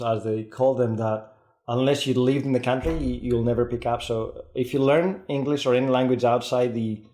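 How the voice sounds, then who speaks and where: Podcast guest, male, Greek-Scottish accent, excitable and quick, restarts his lines, mid-pitch, roomy echo. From Greece. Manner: fast, excitable, restarts sentences. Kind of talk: casual podcast conversation.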